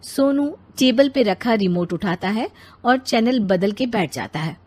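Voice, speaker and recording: Female narrator, fairly high pitch, animated intonation, scripted family-story narration. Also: a little slow.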